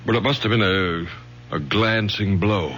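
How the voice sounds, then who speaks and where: A man's voice: fairly low pitch, a little slow, a bit bright. An actor playing a weary, protective middle-aged father, commanding but prone to stammering, in a theatrical radio-drama style. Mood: controlling, tense. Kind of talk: 1970s radio drama acting. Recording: old radio recording with hum and hiss.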